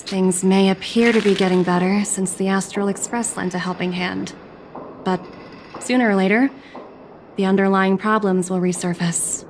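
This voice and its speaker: A woman's voice: fairly low pitch, darkish tone, slight background noise, close to an everyday voice. A woman playing a confident, shrewd young corporate agent in a video game, composed, wry and thoughtful. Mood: grave, certain. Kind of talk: video game cutscene acting.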